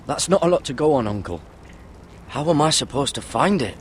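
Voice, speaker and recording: A man's voice: fairly high pitch, expressive, a little fast, slight background noise, close to an everyday voice. An actor playing an earnest, dutiful young squire turned watchman in a fantasy game, with a questioning manner. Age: young adult. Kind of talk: dramatic fantasy game acting.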